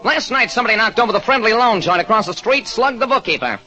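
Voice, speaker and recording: An actor playing a brash, streetwise American carnival worker, slangy and defensive, in an old radio drama. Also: male voice, high-pitched, expressive, fast talker, pushed and bright, faint music behind, exaggerated voice, low-quality recording. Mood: gossipy. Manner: streetwise, defensive wise guy.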